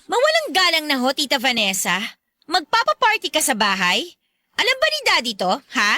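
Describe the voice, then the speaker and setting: A woman's voice: sits high, huge pitch swings, moderate pace, pushed and bright, no echo, studio quality, exaggerated voice. A woman playing a defiant, long-suffering young stepdaughter in a Filipino radio drama, exasperated and pointed, with a Filipino accent. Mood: indignant, defiant. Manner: exasperated, pointed, mock-polite.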